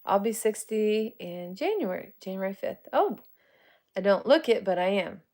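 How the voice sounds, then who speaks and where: A woman's voice: mid-range voice, lively intonation, normal speed, darkish tone. A woman in her forties, a psychic medium, chatting casually with viewers on a livestream. She often laughs, greets people by name and uses colloquial grammar. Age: 40s. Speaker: psychic medium and paranormal live streamer. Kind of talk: casual livestream chat answering viewer comments.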